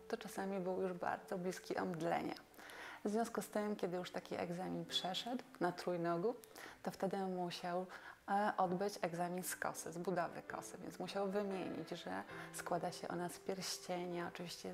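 Female museum expert, forties, lowish gravelly voice, explanatory delivery with emphatic repeats. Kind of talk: explanatory talk to camera on folk customs. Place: small quiet furnished room, indoors. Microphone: lapel mic.

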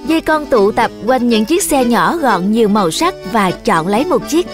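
Lively high-voiced woman storyteller over music. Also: moderate pace. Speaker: narrator. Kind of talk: scripted children's story narration.

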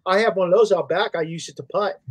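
A middle-aged man chatting casually and informally on a video call, explaining things in short, halting phrases. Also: sits high. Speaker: sports podcast host. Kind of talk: casual chat. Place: indoor room on a video call.

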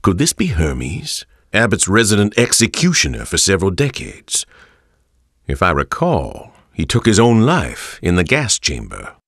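A man playing a grim journal writer in a horror game, narrating in a rough, husky voice. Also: deep voice, wildly swooping pitch, unhurried, fairly bright tone, no echo, clean studio sound, heavily acted. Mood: grim, brooding.

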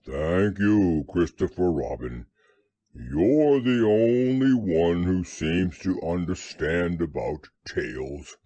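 A man voicing a gloomy, resigned old male donkey in a storybook reading, talking in a slow, sighing, mournful drawl. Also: sits low, expressive, warm tone, slightly rough, dry recording, voice pushed down, exaggerated voice. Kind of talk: storybook character voice.

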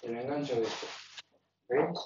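A man's voice: mid-range voice, moderate pace, distant mic. Man explaining gear in an echoey garage. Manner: plain, stop-start explaining as he handles gear. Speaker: kayak fishing hobbyist showing his gear. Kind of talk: casual how-to explanation while working. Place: garage with roll-up door. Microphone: phone camera held in hand. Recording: echoey garage, phone mic, dull and noisy.

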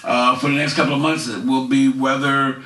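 A man's voice: mid-range voice, flat delivery, normal speed, background noise. A middle-aged man from the Philadelphia area hosting a casual community commentary show from his couch, chatting folksily as he promotes local events. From Philadelphia area, USA. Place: living room on a couch. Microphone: headset mic, far camera. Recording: roomy, slightly distant sound.

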